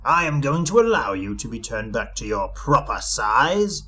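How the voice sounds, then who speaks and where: Casting: regal goblin monarch for a children's story, acted by the narrator, stern, measured, commanding. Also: mid-range voice, sing-song, bright, forceful tone. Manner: measured, authoritative. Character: stern, lordly male king of the goblins.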